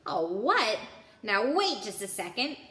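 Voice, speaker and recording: A huge, gruff groundskeeper of a giant, acted by a woman reading a storybook. He barks and growls with a short temper and indignant force. The delivery is bright and forceful, swinging widely in pitch in an echoey room. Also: big pitch swings, takes it slowly, heavily acted. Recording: roomy indoor echo.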